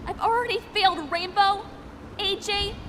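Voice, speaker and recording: A woman's voice: very high-pitched, normal speed, a bit bright, slight background noise. A woman voicing an earnest, resolute young pony princess in a dramatic story reading, urgent and emphatic as she pleads. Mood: desperate, insistent. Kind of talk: dramatic fan fiction reading.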